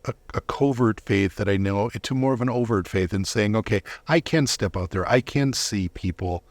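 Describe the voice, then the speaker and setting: A man's voice: lowish voice, normal speed, no room sound. A man giving earnest, explanatory answers as a guest in a conversational interview. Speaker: interview guest, volunteer Bible reader.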